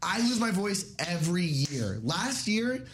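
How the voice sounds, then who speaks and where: Hoarse young man, lively voice. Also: sits high, unhurried, a little hiss or hum. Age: young adult. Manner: excitable, jokey, prone to shouting. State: hoarse, lost voice. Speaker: college radio host. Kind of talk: casual morning-show banter. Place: radio studio.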